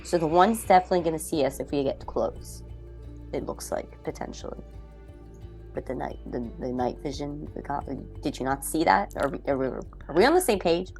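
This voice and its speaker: A woman's voice: low voice, animated, moderate pace, darkish tone. A woman chatting casually as a player in a tabletop roleplaying game. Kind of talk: casual game chat and in-character talk. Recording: background music under the voice. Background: music.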